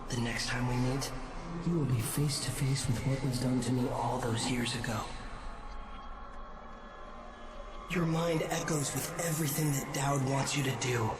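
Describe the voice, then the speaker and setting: An actor playing an enigmatic, detached god in a video game, delivering cryptic lines in a measured, solemn way. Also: male voice, medium pitch, fairly even intonation, natural voice. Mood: grave. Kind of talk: dramatic game cutscene monologue. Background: very loud music.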